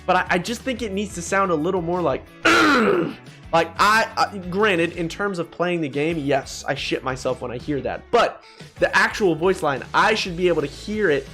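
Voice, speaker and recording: Slangy young man with a higher-pitched voice. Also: expressive, normal speed, bright, forceful tone, soft background music. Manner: slangy, profane, opinionated, exclaiming. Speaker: gaming video host. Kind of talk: casual tier-list commentary.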